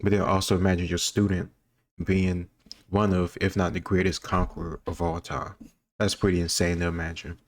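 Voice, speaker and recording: Low, even-toned man, casual commentary. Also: moderate pace. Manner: rambling, musing, self-qualifying. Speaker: host reacting to quiz questions. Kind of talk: casual commentary on history trivia.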